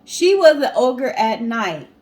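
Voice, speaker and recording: A woman co-hosting a show, telling stories and chatting casually to the audience in an animated way. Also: a little high, takes it slowly. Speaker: co-host of a storytelling guessing show. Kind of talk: casual storytelling and chat to viewers.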